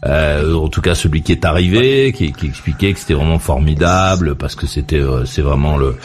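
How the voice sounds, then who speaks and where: A man's voice: a bass voice, animated, moderate pace, a little hiss or hum. A man hosting a late-night talk radio show, asking probing, rambling questions. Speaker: radio host.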